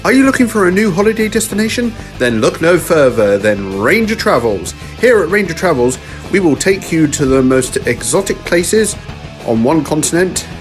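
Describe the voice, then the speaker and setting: Salesy male travel announcer, acted by a man, highish lively voice, parody radio spot over light music. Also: moderate pace.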